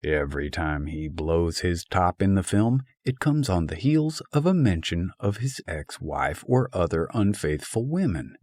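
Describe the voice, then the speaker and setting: Male narrator, lowish voice, animated intonation, wry scripted essay reading, dry close sound. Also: measured pace, few pauses, no room sound. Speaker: audiobook narrator.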